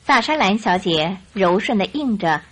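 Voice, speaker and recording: A woman narrating a radio drama from a script in an expressive storytelling manner. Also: medium pitch, moderate pace. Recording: radio drama with music behind.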